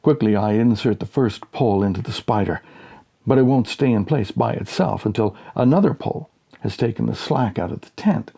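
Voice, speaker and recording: A man narrating a humorous short story as an audiobook, with dry, measured storytelling. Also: fairly low pitch, expressive, creaky, dark tone, husky.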